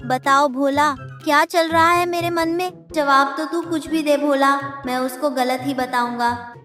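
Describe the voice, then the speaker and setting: High-pitched sly cartoon schemer. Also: female voice, fairly even intonation, a little slow, fairly bright tone, faint music behind. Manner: sly taunting, repeats a catchphrase. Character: scheming, greedy male village moneylender's clerk, smug and taunting. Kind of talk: children's cartoon voice acting.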